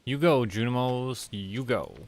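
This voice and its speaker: Slow-talking man, casual gameplay chatter. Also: mid-range voice. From Canada. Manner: relaxed, rambling, talking to himself. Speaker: streamer.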